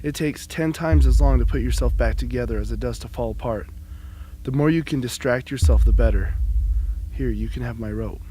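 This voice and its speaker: A man playing a haunted but gently perceptive young rebel in an amateur radio drama, confiding and reflective. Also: medium pitch, brisk, lightly acted. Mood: weary, consoling. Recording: clearly noisy background ambience.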